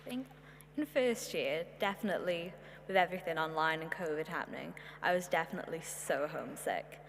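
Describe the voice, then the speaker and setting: A young woman talks casually in a slightly low voice. She is a student on a panel, advising without a script. Her words ring in a large hall with a faint hum behind. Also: a little fast. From Wales. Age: young adult. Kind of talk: casual unscripted advice. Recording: reverberant hall, humming background noise.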